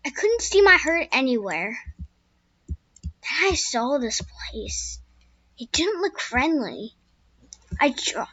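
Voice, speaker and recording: A woman voicing a young wild male horse, a skittish loner, telling his own story in first person with a squeaky voice. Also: pushed and bright, dry recording, highs cut off, heavily acted. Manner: first-person storytelling with restarts, turning frantic when scared. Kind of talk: amateur spoken story.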